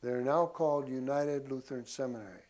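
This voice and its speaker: A man's voice: mid-range voice, a little fast, a little mellow. A man giving an informal history lecture to a group, in a folksy way with casual asides to his listeners. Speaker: church class teacher.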